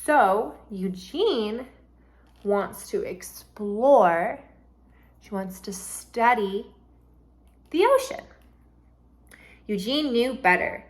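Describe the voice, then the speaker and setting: A woman reads aloud as a teacher would to young pupils, with a lively, swooping intonation. Her pace is slow and deliberate, and her delivery is instructive, made for children following a storybook and learning new words. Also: fairly high pitch. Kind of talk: storybook read-aloud with vocabulary explanations.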